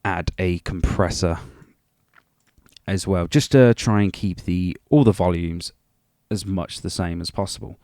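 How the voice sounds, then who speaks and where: A man's voice: low-pitched, measured pace, a little mellow, no echo, clean studio sound. A man giving an informal music production tutorial in a rambling, chatty and self-deprecating way. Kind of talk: informal software tutorial narration.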